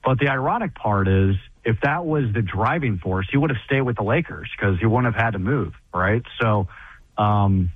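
A man co-hosting sports talk radio, known for his loud voice, breaking down options in a measured, analytical way. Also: fairly low pitch, expressive, no echo. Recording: dry, phone-like radio audio.

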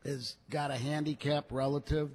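A man giving an unscripted live sales pitch as a car dealer, folksy and repeating phrases for emphasis. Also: steady tone, moderate pace, a little mellow, breathy edge. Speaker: car dealer, radio show regular.

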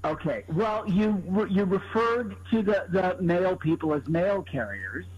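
A man calling into a talk radio show with a deadpan joking correction. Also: high-pitched, unhurried, soft background music. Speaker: caller. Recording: muffled phone call.

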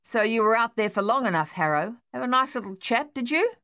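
A woman voicing a gruff, sarcastic male army captain who barks orders. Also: fairly high pitch, normal speed, fairly bright tone, no echo, clean studio sound, voice pushed up, lightly acted. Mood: stern. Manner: snappish, sarcastic.

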